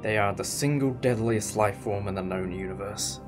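A man's voice: on the low side, normal speed, over music, close to an everyday voice. A man playing a wry, inquisitive time-traveller in a sci-fi audio drama, with a sardonic, explanatory manner. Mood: defiant, grave. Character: wry, inquisitive, defiant male time-travelling alien adventurer.

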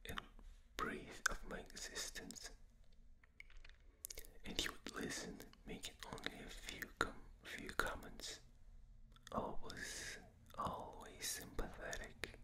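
Man whispering a book, slow and breathy. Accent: non-native. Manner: slow, soft, unvoiced whisper throughout. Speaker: ASMR reader. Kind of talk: whispered ASMR book reading. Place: dark room at night, reading from a tablet. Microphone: binaural microphone.

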